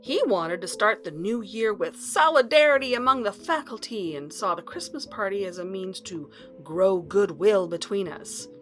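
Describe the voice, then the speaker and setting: Actress as an earnest, determined wife, music behind. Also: female voice, mid-range voice, expressive, moderate pace, few pauses, fairly bright tone, lightly acted. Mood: reflective. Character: determined wife and academic searching for her missing husband. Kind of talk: audio drama acting and narration.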